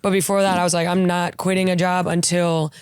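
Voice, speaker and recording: A podcast host interviewing a guest in casual chat, agreeable and quick to say yeah, sometimes acting out quotes. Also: male voice, sits high, little pitch movement, clean tone, no echo. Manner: agreeable, says yeah a lot, acts out quotes.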